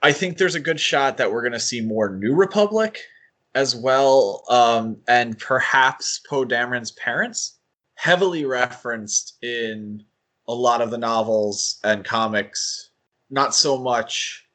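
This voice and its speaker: A man's voice: medium pitch, a little slow, fairly bright tone. A man co-hosting a podcast, thinking aloud in a casual fan discussion and often qualifying what he says. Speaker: podcast co-host.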